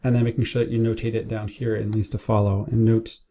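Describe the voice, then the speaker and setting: A man's voice: lowish voice, level tone, quick pace, mellow, a little hiss or hum. A man narrating a casual screen-share tutorial, explaining things informally step by step as a business owner training staff. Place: indoors at a computer, keyboard and mouse clicks.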